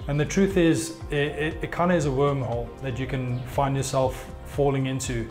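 Mid-pitched man explaining over soft music. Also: fairly even intonation, moderate pace. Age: 30s. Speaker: golf fitness coach. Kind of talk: explanatory interview talk to camera. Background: background music.

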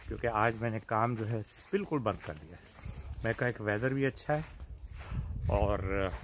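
An older man chats casually to the camera while outdoors, pausing often and addressing his viewers directly in a leisurely way. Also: fairly low pitch, slight background noise. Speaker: vlogger. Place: outdoors in a suburban backyard. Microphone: phone at arm's length.

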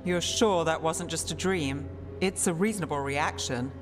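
A cautious, skeptical woman mage, played by a woman in a fantasy game, her words measured and dry. Her voice rings with a long hall reverb. Clear music plays behind her. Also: sits low, close to an everyday voice. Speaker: party companion. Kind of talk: video game voice acting.

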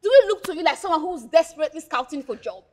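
Feisty, high-voiced young Nigerian woman. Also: lively intonation, very fast, a little mellow, slight background noise. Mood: indignant. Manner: insistent, emphatic repetition. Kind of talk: screen drama acting.

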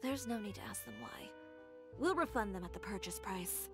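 An actress voicing a brisk, proud, no-nonsense young woman in a video game, assertive and composed. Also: medium pitch, expressive, over music, natural voice. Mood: brisk, confident.

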